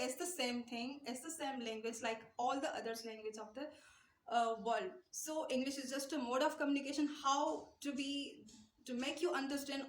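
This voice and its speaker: A woman's voice: sits high, roomy sound, low-quality recording. A young Pakistani woman with a Pakistani accent gives an earnest English-speaking lesson straight to camera, repeating her points and gesturing with her hands. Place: small indoor room, light wall behind.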